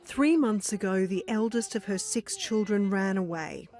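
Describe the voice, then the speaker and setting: A woman reporter, reading scripted news narration in a measured, serious way. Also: mid-range voice, expressive, a little mellow.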